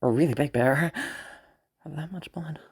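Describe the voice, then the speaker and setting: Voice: medium pitch, moderate pace, a little mellow, slightly rough. A performer voicing a proud, frustrated young woman inventor out hunting, muttering to herself with sighs and swearing in a dramatic audio roleplay. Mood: wary, hushed. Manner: muttering to self, sighing, sweary self-argument.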